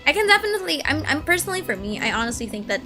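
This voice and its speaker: A woman in her early twenties chatting casually on a gaming stream, excitable and gushing, rambling as she goes. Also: high voice, racing. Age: early 20s. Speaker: streamer and video essay creator. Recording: music behind the voice. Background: game music.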